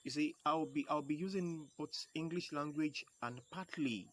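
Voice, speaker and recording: Husky Yoruba-accented man teaching drums. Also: on the high side, fairly bright tone. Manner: slow, repeats key terms, explains step by step. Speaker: percussion teacher. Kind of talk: home video lesson.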